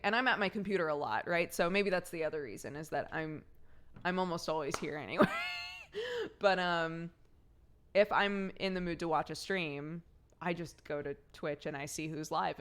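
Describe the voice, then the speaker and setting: A woman's voice: low voice, a bit bright. A young woman streaming, chatting casually with viewers, slightly congested from a cold, rambling and laughing often.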